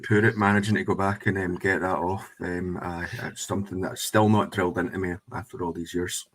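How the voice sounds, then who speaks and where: A man co-hosting a podcast in relaxed chat, speaking hesitantly with ums over a remote call. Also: low-pitched, fairly quick. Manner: laid-back, hesitant with ums. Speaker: podcast co-host.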